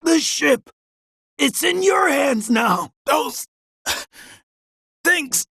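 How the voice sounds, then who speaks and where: A woman's voice: high-pitched, lively intonation, extremely slow, fairly bright tone, dry recording, raised voice, studio quality, heavily acted. An actress playing a frightened, urgent woman aboard an attacked ship in a video game. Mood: panicked, urgent. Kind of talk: dramatic video game voice acting.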